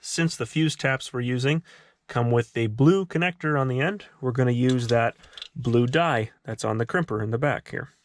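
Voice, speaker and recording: Male DIY host, mid-pitched, casual explanatory delivery, slight background noise. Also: moderate pace. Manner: methodical, explanatory. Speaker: host of a DIY car repair tutorial. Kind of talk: casual hands-on tutorial narration.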